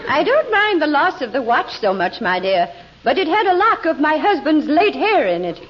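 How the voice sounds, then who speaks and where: An actress playing a genteel, affected high-society lady in an old radio comedy. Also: big pitch swings, normal speed, a loud crowd. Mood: dignified, oblivious. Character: dignified, fluttery high-society married lady. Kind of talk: 1940s radio comedy acting. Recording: noisy muffled old radio recording, loud audience.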